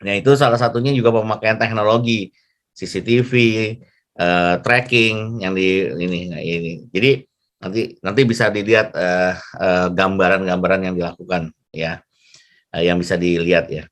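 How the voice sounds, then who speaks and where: Low-pitched male lecturer, chatty and halting. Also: steady tone. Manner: chatty, halting, repeats himself. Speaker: lecturer moderating an online class. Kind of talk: informal lecture and discussion.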